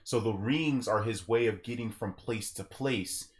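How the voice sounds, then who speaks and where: A man co-hosts a casual gaming podcast. He talks in an animated, explanatory way and tends to ramble through his points in conversational runs. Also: lowish voice, a little slow. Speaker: podcast host.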